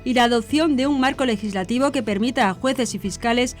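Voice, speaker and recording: High-voiced woman reading news. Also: fairly quick. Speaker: news presenter. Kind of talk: scripted news narration. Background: background music.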